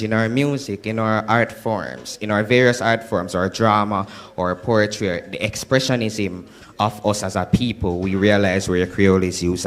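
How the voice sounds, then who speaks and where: Jamaican man presenting to a crowd. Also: on the low side. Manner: engaging, checks in with "right?" often. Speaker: student presenter. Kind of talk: classroom presentation to an audience.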